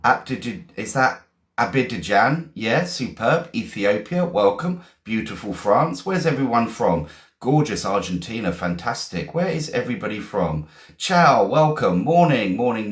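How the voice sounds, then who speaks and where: A man's voice: lowish voice, reverberant. A man with an English accent, teaching English vocabulary in a live stream, chatty and encouraging, greeting viewers and repeating his questions. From England. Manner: repeats questions, slows to spell words out.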